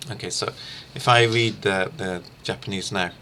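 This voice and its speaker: A middle-aged man giving an informal instructional screencast as a language teacher, haltingly thinking aloud. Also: deep voice, steady tone, pushed and bright, slight background noise. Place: small room lined with shelves, indoors.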